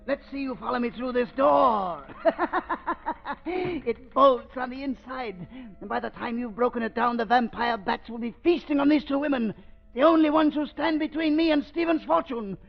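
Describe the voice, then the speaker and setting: Creaky, high-pitched sinister doctor villain. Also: male voice, normal speed, full character voice. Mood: panicked, defiant. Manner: theatrical, menacing, mock-tender. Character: sinister, gloating murderous male doctor villain. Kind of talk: 1930s radio drama acting. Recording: muffled vintage 1930s radio recording.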